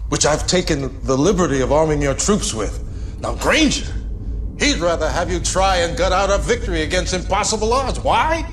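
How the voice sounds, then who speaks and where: A man's voice: medium pitch, a little hiss or hum, close to an everyday voice. A man playing an ambitious, scheming political director in a game cutscene, persuasive and self-assured with dramatic emphasis. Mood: confiding. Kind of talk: video game cutscene acting.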